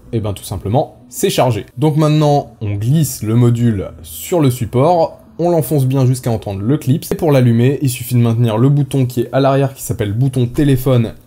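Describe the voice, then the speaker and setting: A man's voice: medium pitch, warm tone. A man doing a casual gear review, explaining things step by step in an informal way. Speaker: motorcycle gear reviewer and vlogger. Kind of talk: casual product review and tutorial. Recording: music behind the voice. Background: background music.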